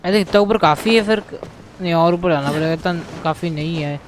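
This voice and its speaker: A man's voice: on the high side, racing, low-quality recording. A man giving casual let's-play commentary as a gaming streamer, mixing Hindi with English words. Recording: game music behind. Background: video game music.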